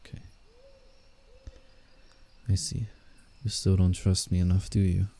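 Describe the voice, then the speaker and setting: Man voicing a very dark, deep, guarded werewolf. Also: completely flat delivery, measured pace, vocal fry, heavily acted. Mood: earnest, guarded, then coaxing, sly. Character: guarded, teasing male werewolf, secretly soft-hearted forest guardian. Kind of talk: roleplay ASMR, scripted character acting with inner monologue.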